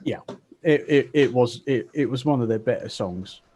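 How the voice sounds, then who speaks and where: Man from England, mid pitch, dry wry delivery, relaxed group chat. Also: moderate pace, a little hiss or hum. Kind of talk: casual chat.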